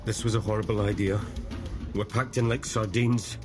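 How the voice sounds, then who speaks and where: Man playing a low, curt, troubled fugitive. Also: steady tone, moderate pace, natural voice. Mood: irritable. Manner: curt, defensive. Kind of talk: audio fiction acting. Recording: loud dramatic music bed. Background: music and vehicle sounds.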